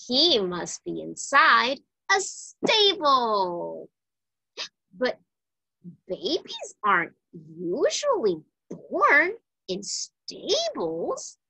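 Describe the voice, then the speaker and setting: A woman reading a children's Bible storybook aloud in a deliberate, emphatic way for young listeners. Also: medium pitch, creaky, pushed and bright, breathy edge, no echo, low-quality recording.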